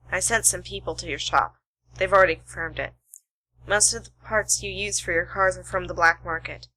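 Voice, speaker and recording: A woman's voice: medium pitch, pushed and bright, rough recording. A woman playing a shrewd, self-assured detective in an amateur radio play, explaining with dramatic deliberation.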